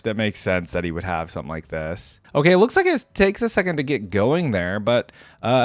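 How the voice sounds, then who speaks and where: A man's voice: medium pitch, no echo. A man giving casual reaction commentary as the host of a gaming video.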